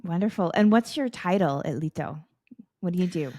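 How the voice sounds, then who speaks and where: A woman's voice: on the low side, lively intonation, moderate pace, warm tone, no room sound. A woman hosting a podcast interview, welcoming and articulate as she introduces her guests. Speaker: podcast host.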